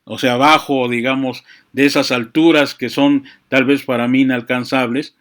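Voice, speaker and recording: A man giving a reflective, unscripted monologue, often halting to search for words and adding verbal tags. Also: medium pitch, steady tone, a bit bright. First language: Spanish. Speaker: speaker on chess and literature. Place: small room with books.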